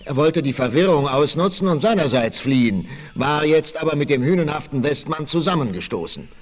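A man's voice: fairly high pitch, expressive, brisk, close to an everyday voice. A man narrating a 1960s German radio play in dramatic scripted storytelling. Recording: 1968 vinyl record, faint noise.

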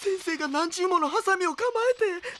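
Very high, frantic young bystander. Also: female voice, a little fast, background noise. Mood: horrified. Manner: frantic, exclamatory, narrating the danger. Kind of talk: Japanese anime audio drama acting. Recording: loud dramatic music behind the voice.

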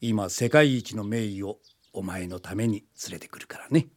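A man's voice: fairly low pitch, normal speed, noisy background, lightly acted. A man acting a wealthy, indulgent older father in a radio drama. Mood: indulgent, tender.

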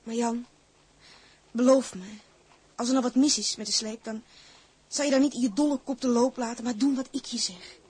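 An actress playing a shrewd, caring captain's wife in a radio drama, speaking Dutch as in the Netherlands. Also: female voice, a little high, fairly even intonation, normal speed, pauses often, a little mellow, slightly rough. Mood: firm, concerned. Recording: noisy radio drama recording.

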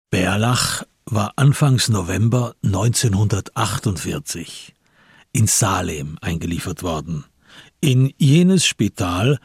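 A man narrating a radio crime play in a husky voice, with measured, literary storytelling. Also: fairly low pitch, dry recording, clean studio sound. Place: dry radio studio.

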